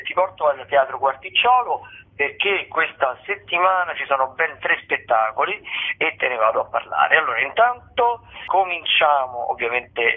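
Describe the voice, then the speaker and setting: Husky male critic on a phone line. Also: on the high side. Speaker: regular radio theatre critic. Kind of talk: informal spoken event listings and commentary. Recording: muffled, narrow-band phone line.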